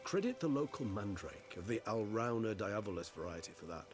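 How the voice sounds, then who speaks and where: A man's voice: on the low side, big pitch swings, brisk, faint music behind. An actor playing an urbane, philosophical immortal in a fantasy game, wry and erudite in his phrasing. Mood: detached, wry.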